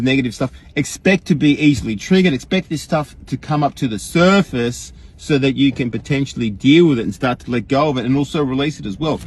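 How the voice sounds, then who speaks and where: An Australian man imitating an earnest, rambling online guru in a folksy, emphatic livestream monologue, with a husky voice. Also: mid-range voice, natural voice. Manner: folksy, emphatic, rhetorical questions, frequent chuckles.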